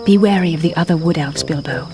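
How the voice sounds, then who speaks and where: A gentle elf woman, acted by a woman guiding a hero in a fantasy game. Her voice is fairly low, careful and calm. Music plays clearly underneath. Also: quick pace, lightly acted. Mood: gentle caution. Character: gentle, helpful female wood elf ally. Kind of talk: video game cutscene acting.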